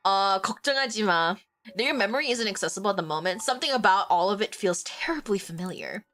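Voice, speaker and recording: A young woman streaming a romance game, congested from a cold, reacting excitedly between stretches of reading the game text aloud. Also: medium pitch. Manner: excitable, swearing, swings between loud outbursts and quiet reading. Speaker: streamer and vtuber.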